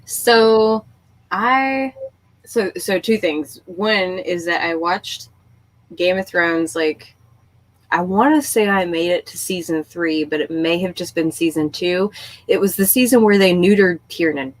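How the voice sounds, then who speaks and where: A woman hosting a casual group book chat, speaking in an animated, emphatic way with exasperated storytelling. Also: lowish voice, a little slow, no room sound, low-quality recording. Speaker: book discussion host. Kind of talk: casual group chat about books and shows.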